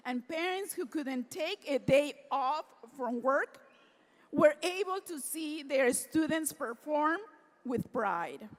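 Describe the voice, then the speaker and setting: A woman presenting an award at a formal luncheon, reading prepared remarks in a warm, earnest way. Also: high voice. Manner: reads prepared remarks, grows emotional. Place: large banquet hall. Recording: hall reverb from a PA system.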